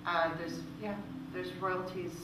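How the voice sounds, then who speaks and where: A woman's voice: fairly low pitch, little pitch movement, takes it slowly. A woman giving an informal, explanatory presentation and answering audience questions. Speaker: presenter from a music royalties organisation. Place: indoor presentation room with audience. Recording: echoey room, distant mic, noisy.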